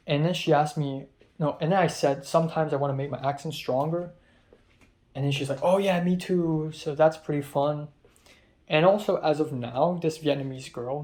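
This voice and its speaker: A man's voice: a little high, mellow. A young man from Hong Kong, a film student, rambling through a casual vlog recap of his day with many ums and restarts. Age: young adult.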